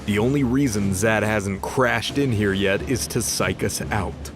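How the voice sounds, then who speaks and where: A cynical, disgraced angel warrior played by a man in an audio drama, speaking in a low voice with gruff sarcasm. He swears freely, and loud music plays under him. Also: unhurried. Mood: sardonic. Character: cynical, sardonic disgraced male angel fighter.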